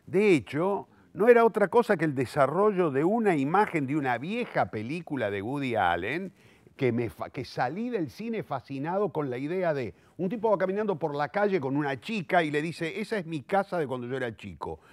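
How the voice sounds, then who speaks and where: A man's voice: on the high side, animated, normal speed, dry recording. A man in his sixties with a Rioplatense Argentine accent, speaking reflectively and eloquently in an interview, often repeating words as he searches for the next. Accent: Rioplatense Argentine Spanish. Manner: eloquent, self-correcting, thinks aloud with searching repetitions. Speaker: playwright, director and theatre teacher.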